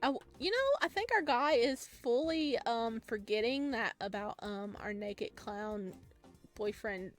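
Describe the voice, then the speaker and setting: A woman's voice: on the high side, unhurried. A woman playing a visual novel, reading it aloud and reacting in a chatty, informal way as she acts out the lines. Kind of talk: casual let's play, reading dialogue aloud with commentary. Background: faint music.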